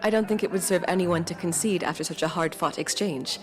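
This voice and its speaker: Woman playing a measured, polite debater, low-voiced. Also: soft background music, lightly acted. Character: calm, formal woman, logical debater.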